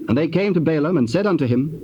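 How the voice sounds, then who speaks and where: A man's voice: a little high, animated, fast talker, muffled recording. A man narrating dramatized scripture solemnly in archaic English. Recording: noisy old cassette tape.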